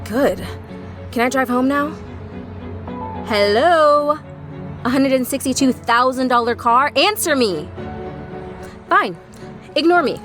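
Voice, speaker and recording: A woman's voice: high-pitched, expressive, takes it slowly, long pauses, music in the background. A woman playing an exasperated, defiant driver trapped in her car, sarcastic and confrontational, in an audio drama.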